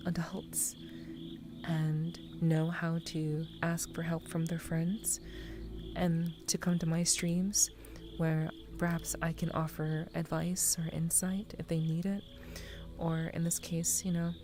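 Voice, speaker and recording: A young woman streamer talking in a soft, soothing half-whisper, her voice a little scratchy after crying, with music behind her. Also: sits low, flat delivery, takes it slowly. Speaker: VTuber streamer. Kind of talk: ASMR stream chat.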